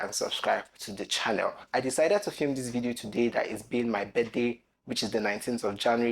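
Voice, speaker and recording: A young man of nineteen speaks straight to his viewers in a casual vlog, his pitch sitting in a middle register. He talks in an earnest, rambling way and keeps circling back with "basically" and "you know" as he gathers his thoughts. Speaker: content creator. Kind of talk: reflective personal vlog talk.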